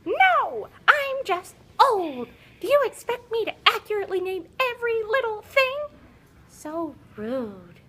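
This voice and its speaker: A woman's voice: extremely high pitch, big pitch swings, a little slow, a bit bright, full character voice. A woman voicing a grumpy, conceited old talking puppet who lectures impatiently in an educational puppet show. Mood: exasperated, scolding. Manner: haughty, exasperated, scolding, lecturing.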